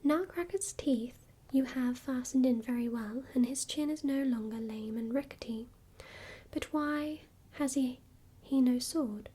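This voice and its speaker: A bold, cheeky boy pipes up in a high, breathy whisper, voiced by a woman. The tone stays mellow and soft. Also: a little slow.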